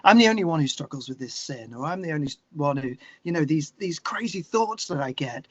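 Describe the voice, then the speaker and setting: A middle-aged man speaking earnestly and haltingly as an interview guest, reflecting in a conversational way with emphatic stresses. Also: a little high, expressive, fairly bright tone, dry recording. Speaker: guest, singer-songwriter. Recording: slightly dull, lo-fi conversation audio.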